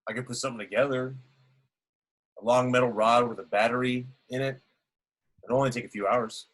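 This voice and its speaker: A man's voice: mid-range voice, fairly even intonation, fairly quick, a bit bright, close to an everyday voice, poor audio. A man in an amateur table read playing a practical spaceship technician. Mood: practical, uneasy.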